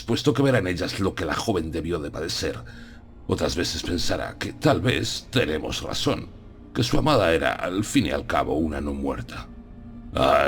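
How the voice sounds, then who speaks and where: An actor playing a solemn, learned old professor who hunts vampires, explaining things deliberately in a gothic audiobook drama. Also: male voice, deep voice. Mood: sorrowful. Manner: solemn, didactic, deliberate phrasing.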